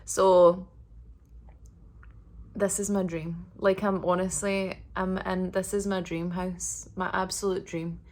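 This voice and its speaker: A woman vlogging a casual apartment tour indoors, talking in a monotonous, relaxed way with lots of fillers. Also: fairly low pitch, slow pace. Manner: monotonous, flat delivery; relaxed, filler-heavy talk.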